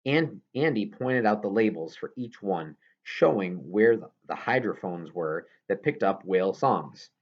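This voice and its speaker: A man's voice: on the low side, animated, a little slow, hardly any pauses, muffled recording. A man reading a children's novel aloud as scripted narration, now and then stumbling over a word. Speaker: audiobook reader.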